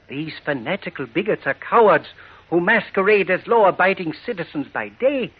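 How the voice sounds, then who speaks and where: Husky, grave, worried father. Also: sits high, animated. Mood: grave, fearful. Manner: formal, measured diction. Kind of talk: 1940s radio drama acting. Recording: muffled old radio recording.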